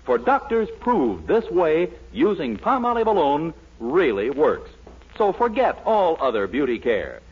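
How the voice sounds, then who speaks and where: Casting: man for 1940s radio ad announcing, raised pitch, rough-edged voice. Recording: dull old radio recording.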